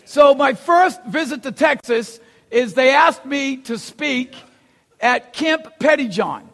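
A man with a Boston accent preaching a sermon, telling stories with gasps and acted-out lines and shouting for emphasis. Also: very high voice, slow. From Boston, USA. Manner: animated storyteller, acts out gasps and quotes, shouts for emphasis. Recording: long hall reverb.

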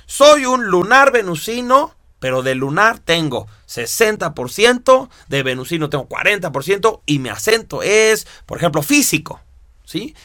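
A man teaching a course, explaining step by step with lively rhetorical questions. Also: high-pitched, dry recording, clean studio sound. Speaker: instructor. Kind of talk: lecture to students.

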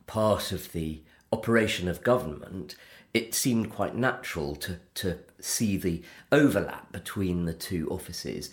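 Low-voiced vicar hosting a podcast. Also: male voice, a little slow. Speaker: podcast host, Church of England vicar. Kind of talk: conversational theological discussion.

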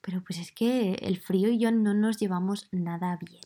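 A woman from Madrid with a slightly softened Madrid Spanish accent, hosting a casual solo podcast in a chatty way that speaks straight to listeners. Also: medium pitch, moderate pace. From Madrid, Spain. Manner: chatty, conversational, addresses listeners directly. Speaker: podcast host.

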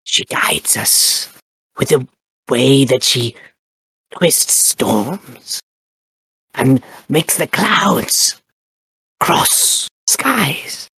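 Bird-folk cleric played by a man: gravelly, husky, stammers. Dry close sound. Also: mid-range voice, sing-song, drawn-out words, pauses often, sharp tone, dry recording, exaggerated voice. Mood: reverent. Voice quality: husky, raspy. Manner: stammering, hesitant, then solemn when he preaches. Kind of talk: tabletop character interview.

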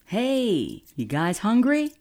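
An actress playing a droll, mischievous, unflappable sidekick in an audio drama. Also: female voice, mid-range voice, wildly swooping pitch, takes it slowly, darkish tone, full character voice. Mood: droll, relaxed.

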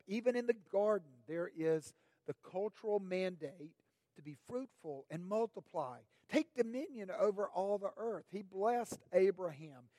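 A middle-aged man preaching a sermon as pastor, his voice raspy this morning, speaking in a measured, deliberate way and lifting his voice on questions. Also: high voice, warm tone, no room sound.

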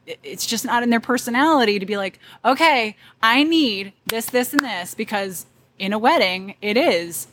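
Lively laughing woman photographer. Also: fairly high pitch, normal speed, slight background noise. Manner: animated, laughs often, loud confident delivery. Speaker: wedding photographer guest. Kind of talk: casual conversational interview.

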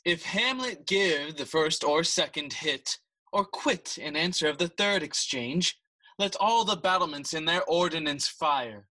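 A man's voice: fairly high pitch, expressive, a little slow, bright, forceful tone, full character voice, poor audio. Scheming king, middle-aged. Stately, ceremonious, commanding delivery of stage verse for audio drama. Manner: stately, commanding, switching between English and Spanish.

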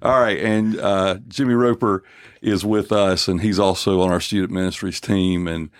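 A man in his fifties hosting a church podcast, talking earnestly and unhurriedly and joking gently with his guests. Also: sits low, fairly even intonation, normal speed, dry recording, clean studio sound. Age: 50s. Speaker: podcast host. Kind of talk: casual church podcast talk.

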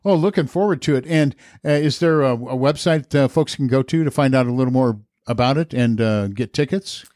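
A man's voice: mid-range voice, fairly quick, darkish tone, dry recording, clean studio sound. An older man hosting a podcast, speaking in a conversational interview style. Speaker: podcast host.

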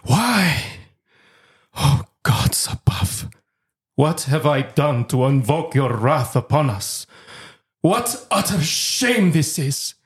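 Man acting husky, anguished Roman proconsul. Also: low-pitched, animated, slow, pauses often, exaggerated voice. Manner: theatrical, declamatory. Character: proud, despairing Roman proconsul, anguished husband. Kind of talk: audio drama acting.

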